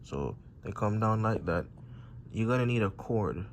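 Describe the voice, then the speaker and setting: A man giving an informal, unscripted how-to tutorial, explaining step by step in a halting way. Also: fairly low pitch, animated, a little hiss or hum. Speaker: presenter of a how-to repair video.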